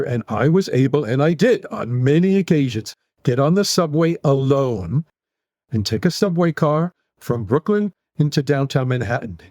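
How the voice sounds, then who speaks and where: A man in his sixties with a New York accent talks in long, animated run-on sentences as a podcast interview guest. His voice sits fairly high for a man. The recording is dry and close. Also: no room sound. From United States. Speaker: guest psychologist.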